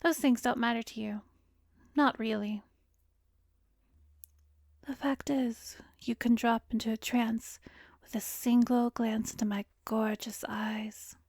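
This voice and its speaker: Casting: actress for arrogant vampiress therapist in ASMR roleplay. Slow, drawn-out, teasing and hypnotic, breathy whispers, intimate dry sound. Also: female voice, on the high side, fairly even intonation, a little mellow, no room sound, exaggerated voice. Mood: smug, teasing. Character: arrogant, seductive female vampire posing as a therapist.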